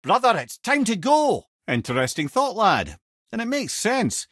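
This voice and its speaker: Game casting: clever old Scottish advisor, husky voice, very expressive pitch, chatty and wry. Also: male voice, unhurried, dry recording, studio quality, heavily acted. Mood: urgent, excited. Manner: wry, chatty, wordy storytelling. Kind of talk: video game character dialogue.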